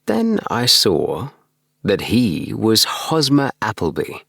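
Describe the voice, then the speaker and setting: Man, bedtime audiobook narrator, very lively intonation, dry close sound, home recording booth. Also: fairly low pitch, slow, vocal fry, slightly rough, no echo. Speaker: audiobook narrator for sleep stories. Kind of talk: scripted storybook narration, bedtime reading.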